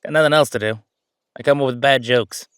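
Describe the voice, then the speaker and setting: A man playing a friendly, mischievous ghost roommate in a casual roleplay audio drama, talking in an excitable, joking, conversational way. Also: medium pitch, brisk, clean tone, dry recording, clean studio sound, lightly acted. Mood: playful, excited. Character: friendly male ghost roommate, playful, mischievous, chatty jokester.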